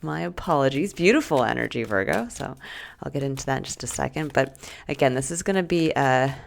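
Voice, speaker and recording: A woman reading tarot cards for the week ahead, talking gently and reflectively with soft asides; she is sick and losing her voice. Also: a bass voice, creaky, a little hiss or hum. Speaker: tarot reader. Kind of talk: weekly tarot reading to camera.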